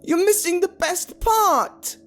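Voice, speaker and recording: Horror story child: man voicing an insistent, creepy little girl, high-pitched and shrill, music underneath. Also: slow pace, fairly bright tone, full character voice. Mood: shrieking, excited. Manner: shrieking, scolding. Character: eerie, insistent little girl glued to television.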